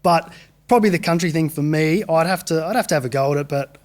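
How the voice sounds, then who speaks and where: Easygoing Australian man, quick to laugh. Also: a little high, a little mellow. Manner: easygoing, laughs easily, lots of yeahs. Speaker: guest musician. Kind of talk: casual interview.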